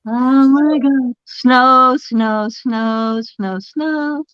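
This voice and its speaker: A woman's voice: sits high, very slow, smooth, dry recording, highs cut off. A woman with a Boston accent from Massachusetts, chatting and teasing in casual livestream banter. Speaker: livestream co-host. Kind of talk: casual chat.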